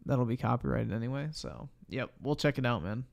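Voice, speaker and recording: A man doing casual live reaction commentary, excitable and profane, with slangy exclamations. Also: medium pitch, a little fast, vocal fry. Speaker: live streamer reacting to videos. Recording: noisy live stream audio.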